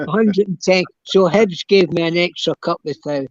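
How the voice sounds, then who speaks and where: An older man with a Scottish accent, a former footballer chatting about his playing days in a halting, rambling way. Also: high voice, no room sound, lo-fi sound. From Scotland. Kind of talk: casual chat, reminiscing.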